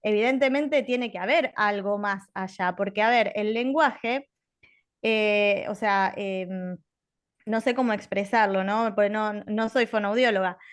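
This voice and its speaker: A woman's voice: medium pitch, unhurried, clear, resonant tone. Argentine woman presenter; explains step by step, filler-heavy delivery. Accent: Argentine Spanish. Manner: thinks aloud with many fillers. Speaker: presenter at a psychiatry conference. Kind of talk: explaining a clinical case.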